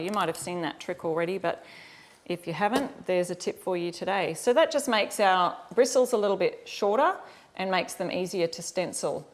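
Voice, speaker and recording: A woman with an Australian accent giving a casual live craft tutorial, chatting informally and directly to her viewers. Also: mid-range voice. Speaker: host of a live craft demonstration. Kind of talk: casual livestream tutorial chat.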